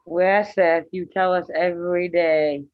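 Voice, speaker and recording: A woman's voice: lowish voice, steady tone, unhurried, no echo. Woman in her forties, chatty and teasing. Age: 40s. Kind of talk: casual group chat. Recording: dull lo-fi mic.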